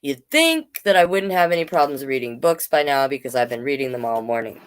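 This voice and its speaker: A woman in her forties giving a casual children's read-aloud, playful and expressive, with chatty asides. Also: a bass voice, fairly quick. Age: 40s. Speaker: children's storyteller.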